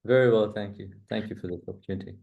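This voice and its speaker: A middle-aged man from the UK, answering interview questions in a measured, earnest way with frequent fillers. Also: lowish voice, creaky, narrow, tinny audio. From UK, born in East Africa. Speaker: guest, coach.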